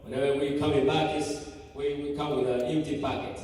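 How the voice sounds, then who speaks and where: A man from Guatemala with a Guatemalan Spanish accent, a native Spanish speaker, giving a halting church testimony full of fillers and restarts. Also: on the high side, flat delivery, a little mellow, slight background noise. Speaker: mission team member giving a church report. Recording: echoey church hall from far off.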